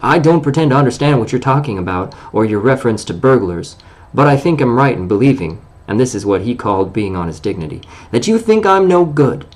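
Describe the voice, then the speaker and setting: A man's voice: low voice, fast, hardly any pauses, darkish tone. A man voicing a nervous, fussy little male hobbit in a storybook reading. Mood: indignant.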